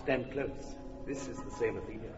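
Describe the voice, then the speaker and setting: An actor playing a stately, scheming fairy king in a classic radio drama, speaking verse in a measured way with sharp bursts of command. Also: mid-range voice, highs cut off, close to an everyday voice. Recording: roomy reverberant sound with room noise, from a distance.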